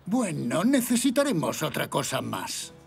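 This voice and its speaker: A man's voice: fairly high pitch, huge pitch swings, a little slow, vocal fry, faint music behind, heavily acted. A man voicing a kindly old grandfather zebra in a children's cartoon, patient and explanatory with thoughtful hums. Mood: encouraging, explanatory. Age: older.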